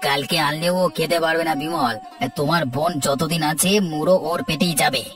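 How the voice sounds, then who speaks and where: A man's voice: fairly high pitch, fairly even intonation, a little fast, distant mic, over loud music, natural voice. A man voicing an exasperated, sarcastic husband in a Bengali family cartoon, with a dry, chiding manner. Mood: teasing. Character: exasperated, sarcastic husband scolding his greedy wife.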